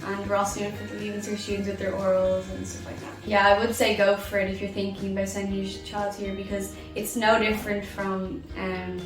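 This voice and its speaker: Teenage Irish girl, flat chatty delivery. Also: medium pitch, a little fast, few pauses. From Ireland. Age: teens. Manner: chatty, lots of "like" fillers. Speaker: former student interviewee. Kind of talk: casual interview answers. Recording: echoey room, distant mic, music underneath.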